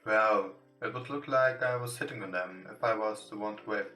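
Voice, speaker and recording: Male let's play host, non-native English speaker, halting read, forward bright tone, echoey room with music behind. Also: on the low side. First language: not English. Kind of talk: reading visual novel text aloud. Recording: roomy echo, distant from mic. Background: soft guitar game music.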